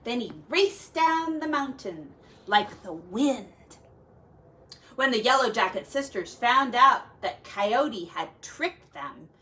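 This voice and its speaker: A woman reading a children's folk tale aloud in an expressive, dramatic and deliberate way, like a teacher. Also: a little high. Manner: dramatic, deliberate, with quiet asides to young listeners.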